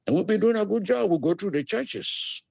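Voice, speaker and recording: A man from Liberia, an animated storyteller who laughs easily, speaking as an interview guest. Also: gravelly, breathy edge, no room sound. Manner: animated storyteller with big swings in pitch, quick to laugh. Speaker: guest, immigrant services business owner. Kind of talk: conversational interview.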